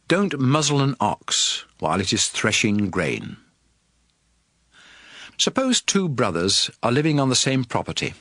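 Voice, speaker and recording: A man narrating scripture in a measured, authoritative manner for a dramatized audio production. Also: mid-range voice, a bit bright, no echo, studio quality. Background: music.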